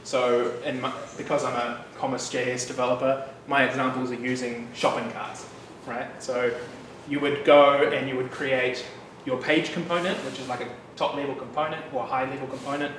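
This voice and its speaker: A man in his thirties with a New Zealand accent, giving a casual, chatty developer talk at a meetup, relaxed with frequent fillers and asides. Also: medium pitch, normal speed, few pauses. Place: bright loft-like office room with an audience. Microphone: room mic, speaker standing away from it. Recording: distant, echoey room with background noise.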